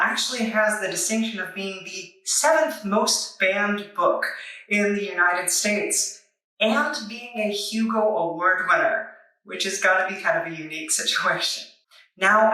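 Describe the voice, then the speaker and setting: Female reviewer, bright and wry, recorded in a very echoey room a bit away from the mic. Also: mid-range voice. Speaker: comic book reviewer, video host. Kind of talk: semi-scripted review narration. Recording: echoey room, distant microphone.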